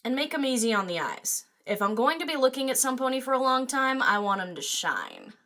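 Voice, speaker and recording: A woman's voice: high-pitched, animated, brisk, fairly bright tone. A woman voicing a brash, sarcastic young unicorn party girl in a fan audio drama, slangy and cheeky.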